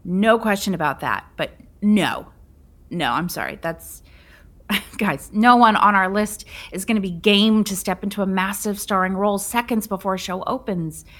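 Woman playing a mid-pitched, wry casting colleague. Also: moderate pace, fairly bright tone, close to an everyday voice. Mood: apologetic, wry. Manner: apologetic, wry. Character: beleaguered female casting colleague, frankly pessimistic. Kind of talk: audio comedy drama acting.

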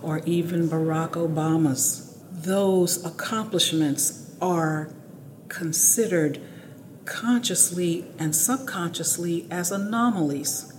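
Noisy ambient recording, woman, lowish pitch, slow deliberate emphatic speech, serious interview. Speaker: opera librettist, interviewee.

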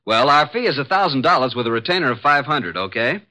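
A brash young detective's assistant from an old radio mystery. His voice is bright and forceful, swinging widely in pitch. He talks in slangy wisecracks, eager and quick to react. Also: very animated. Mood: excited, alarmed. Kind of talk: 1950s radio detective drama acting.

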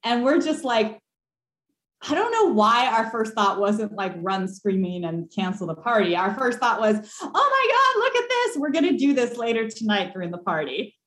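A young woman telling a ghost story on a podcast call, animated and laughing, with lots of fillers. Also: fairly high pitch, normal speed. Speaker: caller. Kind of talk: casual storytelling. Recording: echoey room.

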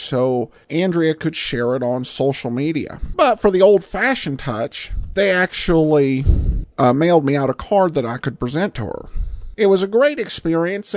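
A man's voice: fairly high pitch, darkish tone, no echo. An American man hosting a podcast, reading introductions and sponsor messages. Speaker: podcast host.

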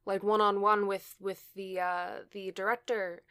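A young woman voice actor who is a guest on a casual call, speaking haltingly with restarts through a home microphone. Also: medium pitch, flat delivery, clean tone, no echo, clean studio sound. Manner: halting, many restarts, soft asides. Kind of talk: casual chat. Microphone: home mic over voice chat.

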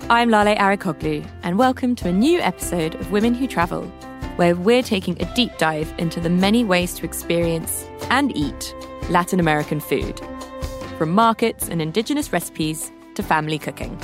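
A woman's voice: lowish voice, animated, moderate pace, over music. A woman hosting a podcast, reading scripted introductions and narration. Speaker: podcast host.

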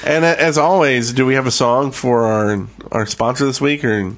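A man talks casually as a podcast co-host. His voice has an ordinary middle pitch, and he speaks in a conversational way about games. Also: moderate pace. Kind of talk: casual gaming podcast chat.

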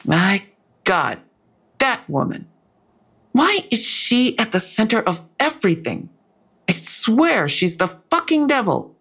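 A frustrated investigator in an audio drama, bitter and emphatic. Her voice is fairly high and husky, drawn out slowly. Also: sing-song, fairly bright tone, full character voice. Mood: exasperated. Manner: emphatic, exasperated. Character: frustrated, bitter female investigator cursing a suspect.

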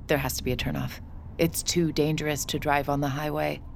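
An actress playing an anxious, wounded adult daughter in an audio drama. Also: female voice, low voice, flat delivery, a little fast, gravelly, a little hiss or hum. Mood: panicked.

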